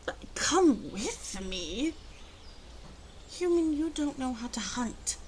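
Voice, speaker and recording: A woman playing a protective, bossy female werewolf in a soft-spoken ASMR roleplay, murmuring and often slipping into whispers. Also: high voice, big pitch swings, slow, a crowd in the background, full character voice. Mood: teasing, exasperated. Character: female werewolf, gently protective, gruff, bossy toward humans. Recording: clearly audible ambient background noise.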